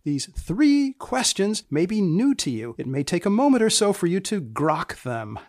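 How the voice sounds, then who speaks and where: A man hosting a podcast, narrating in a deliberate, deadpan way with phrases repeated for emphasis. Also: high-pitched, no echo, clean studio sound. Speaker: podcast host. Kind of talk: scripted humorous narration of research studies.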